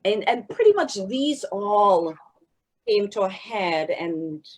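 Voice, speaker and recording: A woman hosting an interview, asking questions in a halting, friendly way. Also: medium pitch, animated, poor audio. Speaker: interview host. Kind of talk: casual interview.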